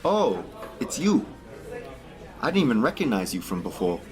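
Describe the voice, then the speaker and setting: A man's voice: medium pitch, big pitch swings, moderate pace, close to an everyday voice. A man playing a naive, eager young Christmas lover in an amateur radio drama, earnest and wide-eyed. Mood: eager, amazed.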